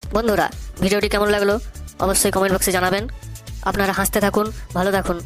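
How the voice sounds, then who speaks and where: A woman's voice: mid-range voice, flat delivery, fast talker, soft background music. A woman with a Bengali accent as channel host, giving a repetitive friendly sign-off. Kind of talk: scripted outro.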